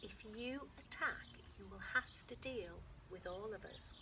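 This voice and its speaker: A woman teacher with a British accent reading a children's picture book aloud, with expressive storytelling that sometimes drops to a soft whisper and stops to explain words simply for young children. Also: mid-range voice, measured pace, background noise. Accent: British English. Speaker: infant school teacher.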